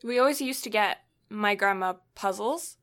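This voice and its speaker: Girl rambling on a podcast, laughs a lot, bright voice. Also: mid-range voice, normal speed. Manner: chatty and rambling, laughs easily. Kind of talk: casual chat.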